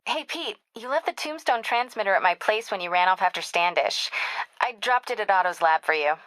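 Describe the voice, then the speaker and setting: Actress as a bright-voiced young American woman reporter. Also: medium pitch, lively intonation, no echo, natural voice, rough recording. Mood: casual, teasing. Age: young adult. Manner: casual, quick-witted phone chatter. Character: brisk, teasing young woman newspaper reporter, warm and daring. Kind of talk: video game voice acting.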